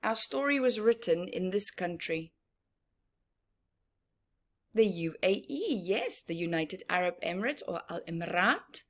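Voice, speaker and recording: A woman's voice: fairly low pitch, very animated, measured pace, pauses often. A woman presenting a story time for young children, talking in a singsong way with repeated words and questions to her listeners. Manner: asks listeners questions and answers them. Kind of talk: children's read-aloud and teaching, addressed to young viewers.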